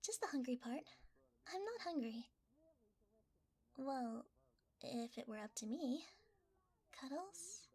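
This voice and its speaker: Woman voicing a shy, high, slow-talking cuddly creature. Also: animated, darkish tone, full character voice. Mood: shy, hesitant. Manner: hesitant, flustered, breathy half-whispering. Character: shy, cuddly shapeshifting mimic creature posing as a blanket. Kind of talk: ASMR roleplay.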